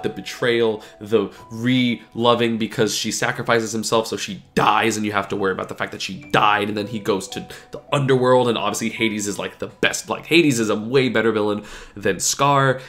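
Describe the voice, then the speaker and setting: A man's voice: on the low side, steady tone, moderate pace, fairly bright tone, faint music behind. A young man talking casually and rambling to camera, with lots of fillers, sniffling and a bit sick. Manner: rambling, filler-heavy, chatty. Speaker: gaming YouTuber. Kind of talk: casual opinion vlog.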